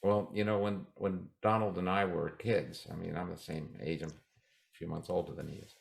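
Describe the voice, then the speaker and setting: Very deep, gravelly man in his seventies. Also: fairly even intonation. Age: 70s. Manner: emphatic, restarts often, slows for key lines. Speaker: guest. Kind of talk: interview talk.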